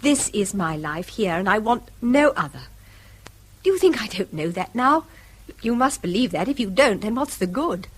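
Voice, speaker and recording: An actress playing a restrained, wistful middle-aged English wife in a radio drama, with a breathy, husky edge. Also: female voice, medium pitch, animated, moderate pace, a little hiss or hum, natural voice. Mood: pleading.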